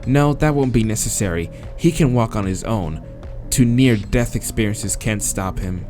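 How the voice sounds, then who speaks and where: A man's voice: lowish voice, moderate pace, a little mellow, soft background music, natural voice. A man voicing a stern, sour cat librarian from a visual novel, curt and commanding.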